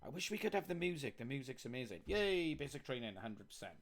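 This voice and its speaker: A Welsh man at a middling pitch streams a coding game. He chats loosely to himself as he works and reads the on-screen prompts aloud in a relaxed way. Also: fast talker. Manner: chatty, thinks aloud, reads on-screen text aloud. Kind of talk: casual live-stream commentary.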